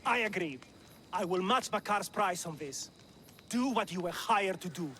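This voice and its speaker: A man's voice: high-pitched, animated, moderate pace, pushed and bright, slight background noise. An actor playing an indignant, blustering noble father in a video game. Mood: contemptuous.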